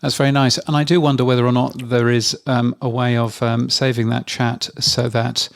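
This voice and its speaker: A man's voice: mid-range voice, flat delivery, normal speed, gravelly. A man co-hosting a podcast, asking questions in a hesitant, casual way with many restarts. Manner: hesitant, full of ums and restarts. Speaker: podcast news co-host.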